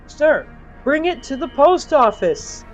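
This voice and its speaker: Woman playing young male mail carrier, awkward, anxious, nervy, raised pitch, animated swings, comic audio story. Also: slow pace, muffled recording, music in the background, exaggerated voice. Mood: shouting.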